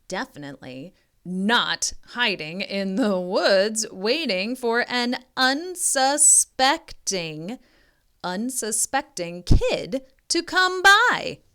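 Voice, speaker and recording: A woman's voice: medium pitch, bright, forceful tone, clean tone. A woman reading a picture book aloud expressively, stopping for teacherly asides to the listener. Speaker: reading tutor.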